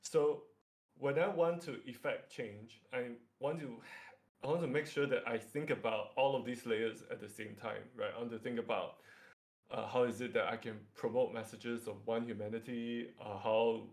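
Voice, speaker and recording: A man's voice: mid-range voice, fairly even intonation, normal speed. A man with a Singaporean accent and a mid-range voice talks through material as a workshop facilitator. His delivery is an informal lecture, feeling its way through phrases and often checking in with a short tag.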